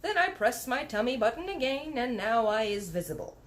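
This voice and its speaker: Lady doing an excitable storybook kid, little boy, broken grammar, high and bouncy, noisy audio. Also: fairly quick. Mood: boastful. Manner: childlike broken grammar, exclamatory. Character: excitable little boy telling fanciful dreams. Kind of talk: storybook read-aloud character voice.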